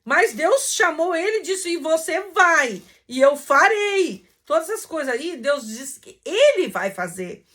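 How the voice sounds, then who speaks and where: A Brazilian woman with a high-pitched, very lively voice preaches in an informal, teacherly way. She reads scripture with deliberate weight and explains it in conversational, emphatic phrases, her intonation rising and falling widely. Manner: emphatic, didactic, slow when reading verses aloud, conversational asides. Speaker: preacher teaching a Bible lesson. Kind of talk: informal sermon with scripture reading.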